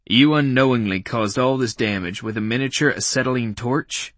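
A man's voice: medium pitch, moderate pace, fairly bright tone, no room sound, lightly acted. A man voicing a frustrated, skeptical police detective in an audiobook, questioning formally and with disbelief.

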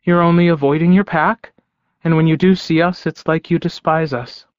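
Man playing motherly female werewolf for audiobook: raised pitch, calm, perceptive, gently probing. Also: clean tone, no room sound, highs cut off, lightly acted. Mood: gentle probing. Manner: calm, knowing, deliberate phrasing.

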